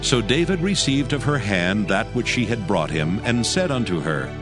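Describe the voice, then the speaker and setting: A man reads scripture as a narrator, in a solemn and measured way. His voice is fairly low and moves expressively up and down. Faint music plays underneath. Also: very animated. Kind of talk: scripted dramatized Bible narration.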